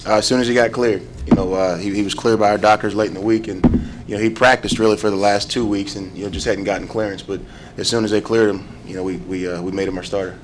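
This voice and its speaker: Fast-talking coach with many fillers. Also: male voice, on the low side, steady tone, noisy background. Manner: quick, halting, many "you know" fillers. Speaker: head football coach. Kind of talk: postgame press conference answers. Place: press room before a sponsor backdrop. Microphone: table mic in front.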